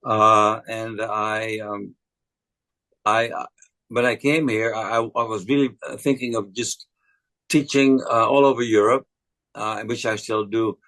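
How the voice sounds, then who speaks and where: A man's voice: lowish voice, steady tone, unhurried, fairly bright tone, low-quality recording. Hesitant Dutch-accented older man. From Netherlands. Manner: hesitant, many fillers and restarts. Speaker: acting coach and actor. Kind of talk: casual interview chat.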